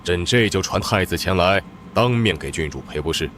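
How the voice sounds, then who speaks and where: Smooth scheming emperor, deep voice. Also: male voice, expressive, moderate pace, a bit bright, a little hiss or hum. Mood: genial.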